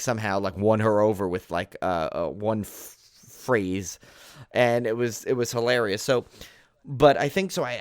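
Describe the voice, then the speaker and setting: A man's voice: mid-range voice, clean tone, no echo, clean studio sound. A man with a New York accent co-hosting a podcast in casual chat, rambling and laughing often. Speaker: podcast co-host.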